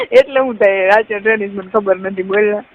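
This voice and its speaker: A young woman with a Saurashtra Gujarati accent, chatting casually on a phone. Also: medium pitch. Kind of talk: casual chat on a phone call. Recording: phone call recording, faint noise.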